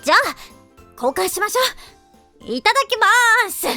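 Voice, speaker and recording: A woman playing a teasing, sensible high school girl in a Japanese anime-style voice drama, expressive and easily exasperated. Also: normal speed, soft background music, heavily acted. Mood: friendly.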